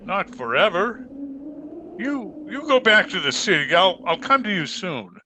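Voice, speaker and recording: A man playing a brooding, secretive murderer in old-time radio mystery style, grave, guarded and halting. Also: high voice, very animated. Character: brooding, secretive male murderer torn by love. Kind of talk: 1940s radio mystery acting. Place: at home, online video-call performance. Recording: noisy home internet-call recording.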